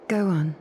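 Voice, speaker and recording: A woman voicing a stately, composed noblewoman leader in a video game, formal, measured and graciously commanding. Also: mellow. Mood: cool, measured. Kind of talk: scripted video game voice acting.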